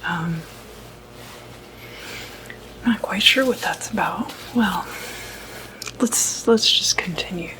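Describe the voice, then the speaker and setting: A woman playing a calm, quietly puzzled doctor in an ASMR medical roleplay, whispering breathily and hesitantly. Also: medium pitch, low-quality recording. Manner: hesitant, halting, murmured asides. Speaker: ASMR performer.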